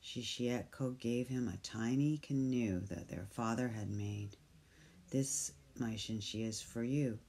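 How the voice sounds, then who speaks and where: Slow, gentle storybook reader with dark tone. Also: medium pitch. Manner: gentle, soft, drops to whispers. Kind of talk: slow picture-book read-aloud.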